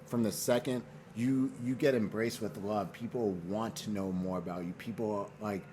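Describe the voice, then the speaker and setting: A man with a low voice speaks in a casual interview over clearly audible room noise. He is earnest and slangy, circling back on phrases to drive a point home. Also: fairly even intonation, normal speed. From Boston and Nigeria. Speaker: fashion brand founder, interview guest.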